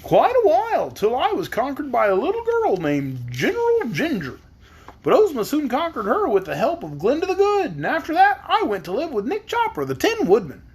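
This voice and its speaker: Reader putting on a storybook scarecrow man, very high and lively, breathy, slow and eager. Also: full character voice. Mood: proud. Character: cheerful male straw scarecrow.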